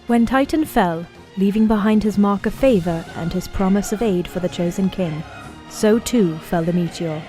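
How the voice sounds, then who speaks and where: A woman's voice: mid-range voice, moderate pace, natural voice. A woman narrating an epic fantasy audio drama as a grave storyteller, in scripted dramatic style. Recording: loud music bed under the voice.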